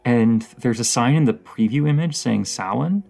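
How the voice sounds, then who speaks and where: A man playing a dorky, excitable partner who rambles like a horror-movie nerd in a casual, intimate roleplay, stammering and halting with enthusiasm. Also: medium pitch, gravelly, close to an everyday voice. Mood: playful, curious.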